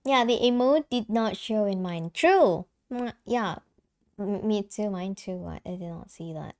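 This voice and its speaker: A woman's voice: mid-range voice, expressive, measured pace, darkish tone. A young woman streamer with a light soprano voice, chatting casually and giggling, with lots of fillers and restarts. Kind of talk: casual live-stream chat.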